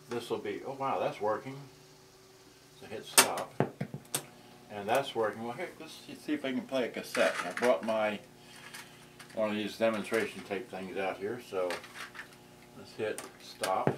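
An older man in his sixties giving a casual unscripted demonstration, halting and muttering to himself as he tinkers. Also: lowish voice, background noise. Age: older, 60s. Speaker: vintage boombox collector presenting his channel. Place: cluttered indoor storage room. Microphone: camera microphone, tripod then handheld.

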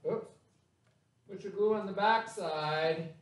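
A man in his forties teaches a craft lesson to young children over a webcam in his classroom. His voice sits a little high, and he walks through each step slowly and patiently, checking in with them as he goes. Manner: slow, step-by-step instructions to children. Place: classroom, indoors. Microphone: webcam at desk.

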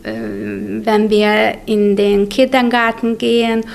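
A woman in her sixties interviewed indoors, speaking slowly and carefully with a few filler sounds. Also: mid-range voice, little pitch movement, creaky. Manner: slow, careful, some fillers. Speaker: choir member. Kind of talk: interview answers. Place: indoors in a room with bookshelves. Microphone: handheld reporter mic.

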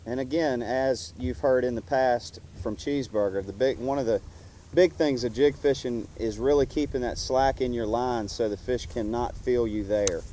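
A man's voice: medium pitch, moderate pace, mellow. A middle-aged man casually explaining fishing tips step by step from a boat on a lake, picked up by a camera mic a few feet away. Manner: easygoing, explains step by step with restarts. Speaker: fishing instructor. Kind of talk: casual how-to talk while fishing. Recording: wind and water noise behind.

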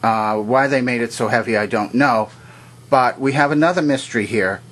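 A man with a medium-pitched voice narrates a hands-on technical demonstration. He talks without a script, pointing out each part methodically. His delivery is plain and instructional. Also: moderate pace, fairly bright tone, poor audio. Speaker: presenter of vintage electronics demonstrations. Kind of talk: unscripted explanatory demonstration narration.

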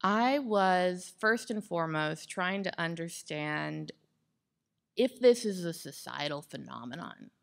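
A woman guest expert answers questions in an onstage interview. Her voice sits low and is very gravelly with fry. She speaks in measured, well-formed sentences. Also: expressive. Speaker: guest expert on climate psychology. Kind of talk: thoughtful interview answers on stage. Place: indoor stage with wood-slat backdrop. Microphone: microphone on boom stand.

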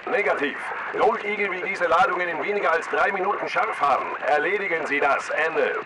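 A man's voice: on the high side, fairly quick, very noisy, close to an everyday voice. A man playing a clipped, authoritative military command-post radio operator in a video game. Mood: commanding, urgent.

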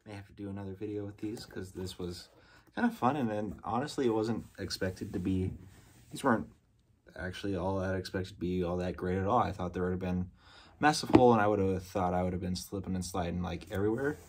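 A young man with a deep, low-pitched voice chats casually to a camera set close in front of him. He rambles along with relaxed fillers, sitting in a small bedroom. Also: a little fast. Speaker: skateboarding vlogger. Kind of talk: casual vlog talk to camera.

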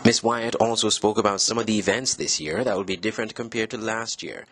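A man's voice: fairly low pitch, brisk, fairly bright tone, no echo, soft background music. A man reading scripted news reports as a reporter.

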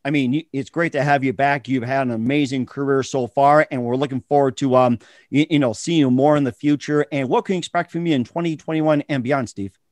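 A man's voice: medium pitch, fairly even intonation, brisk, a little mellow, no room sound. Male radio host, dry close sound. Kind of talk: casual interview hosting.

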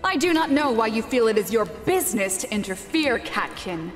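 A woman's voice: fairly high pitch, big pitch swings, normal speed. A woman playing a disdainful, imperious spider deity with formal speech in a visual novel. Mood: scornful. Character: haughty, disdainful spider goddess. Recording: long reverb, music behind.

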